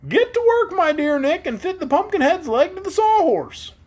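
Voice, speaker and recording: A reader putting on a very high, bouncy straw man, proud and chatty, storybook figure. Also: normal speed. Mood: eager. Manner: high, lively, excitable. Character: cheerful straw man, proud of his brains.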